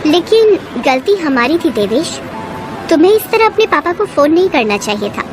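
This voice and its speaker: A woman's voice: high-pitched, very animated, moderate pace, over music. A woman voicing a trusting, devoted young college girl in love, with a sweet, affectionate manner, for an audio story. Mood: gently reproachful.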